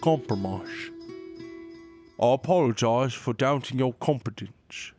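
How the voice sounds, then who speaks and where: An actor playing an aged, formal royal advisor in a fantasy audio drama, measured and earnest. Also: male voice, mid-range voice, expressive, over music, natural voice. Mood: calm. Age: older.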